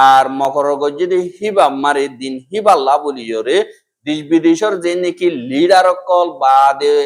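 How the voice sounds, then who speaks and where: A man presents a scripted news bulletin. He speaks with a Rohingya accent. His voice sits a little higher than is usual for a man.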